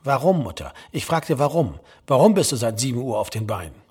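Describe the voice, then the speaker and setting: A man acts out a grumpy audiobook character of almost thirty with a husky voice. He is short of sleep, pedantic and exasperated, and argues every small point. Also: mid-range voice, big pitch swings, fairly quick, a little mellow, voice pushed down. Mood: insistent. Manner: irritable, argumentative, exasperated.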